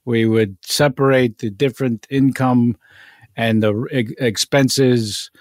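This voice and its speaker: A man playing a fussy, cranky older accountant who rambles in halting bursts full of uh's. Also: medium pitch, fairly even intonation, no room sound, studio quality, close to an everyday voice. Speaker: improv performer. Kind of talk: improvised comedy scene.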